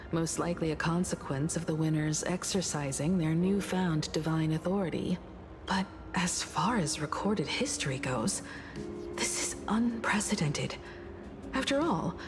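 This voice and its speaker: Calm lore-explaining game character. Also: female voice, lowish voice, noisy background. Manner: measured, explanatory.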